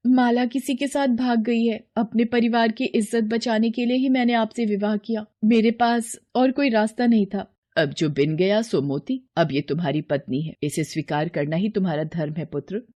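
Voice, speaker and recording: A woman's voice: on the high side, normal speed, few pauses, dark tone, natural voice. Woman as a theatrical, scheming stepmother queen. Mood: insistent. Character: scheming, spiteful middle-aged queen and jealous stepmother.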